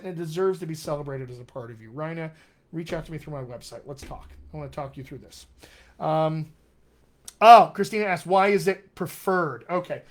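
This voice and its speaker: A man with a General American accent, a dialect coach answering viewer comments in an enthusiastic, encouraging, conversational way during a live stream. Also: sits high. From Colorado, United States.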